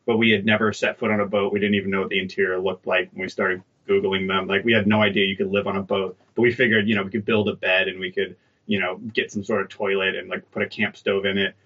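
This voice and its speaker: Bright-toned easygoing man, dull line. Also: deep voice, level tone, fast talker, hardly any pauses. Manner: easygoing storyteller, self-deprecating humor. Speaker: guest. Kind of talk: conversational interview.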